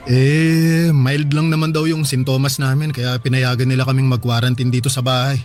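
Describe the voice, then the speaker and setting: A man's voice: medium pitch, level tone, normal speed, a little mellow, natural voice. A man acting a tired but reassuring father caring for his sick child in a radio drama. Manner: reassuring, weary, plain-spoken.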